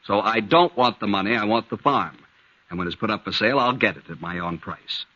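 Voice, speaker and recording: A greedy, curt old-time moneylender. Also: male voice, fairly low pitch, fairly quick, bright, forceful tone. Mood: cold. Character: greedy, cold male land-grabbing moneylender. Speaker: banker villain. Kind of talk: 1950s radio drama acting. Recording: dull lo-fi vintage radio recording.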